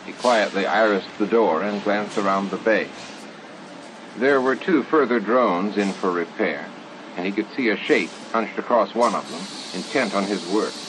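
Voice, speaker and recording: A man's voice: on the low side, normal speed, noisy background. A man reading scripted fiction as an audiobook narrator. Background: music and sound effects.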